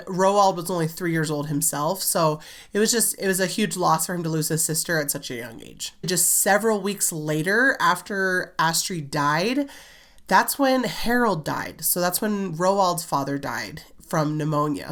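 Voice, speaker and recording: A woman's voice: lowish voice, fairly bright tone. Chatty, giggly woman with slight congestion. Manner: chatty, giggly, many "like" fillers. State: slightly congested, recovering from a cold. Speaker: children's book author and channel host. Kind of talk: casual storytelling vlog.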